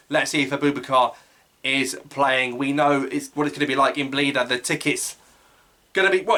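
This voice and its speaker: A man hosting his own football channel, giving a solo match preview as an eager fan, with quick asides and self-corrections. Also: mid-range voice, sharp tone.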